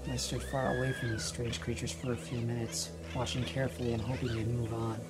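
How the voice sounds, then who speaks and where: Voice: medium pitch, little pitch movement, natural voice. A performer as a reflective stranded traveler over music. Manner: measured, reflective storytelling. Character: weary stranded traveler recounting a journey in alien desert. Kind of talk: audio drama first-person log.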